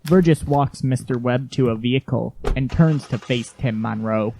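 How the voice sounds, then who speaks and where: A man's voice: mid-range voice, normal speed, vocal fry, mellow, slight background noise. A man reading scripted narration as the announcer and storyteller of an audio production.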